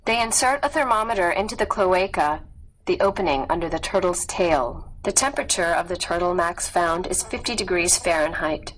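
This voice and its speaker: A woman narrating a scripted nonfiction story in a measured, clearly read delivery. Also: medium pitch, rough recording. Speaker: audiobook narrator.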